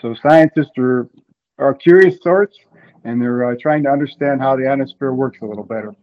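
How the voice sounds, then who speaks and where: A man giving explanatory interview answers in a halting way, repeating words. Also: medium pitch. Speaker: podcast guest, amateur radio community coordinator. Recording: narrow, tinny phone-like line.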